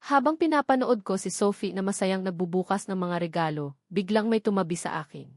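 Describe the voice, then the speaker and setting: A woman's voice: mid-range voice, a little fast, darkish tone, no echo, clean studio sound. A woman with a Filipino accent reading a scripted first-person romance story as its storyteller.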